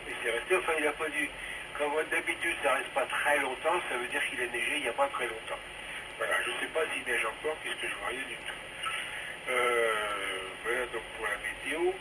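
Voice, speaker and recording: A man's voice: mid-range voice, far from the mic. Male amateur radio operator, easygoing rambler, sounding off-mic through a very noisy two-way transmission. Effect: two-way VHF radio transmission. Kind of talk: casual chat on a ham radio round.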